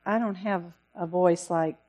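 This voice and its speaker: A woman missionary giving an earnest, pleading talk to a church congregation, with a loud voice. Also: fairly low pitch, fairly even intonation, measured pace. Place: church service.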